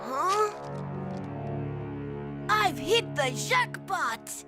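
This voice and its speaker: A woman's voice: very high voice, very animated, drawn-out words, full character voice. A woman voicing a cheeky, street-smart little boy in a cartoon, playful and hustling. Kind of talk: animated series voice acting. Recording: music behind.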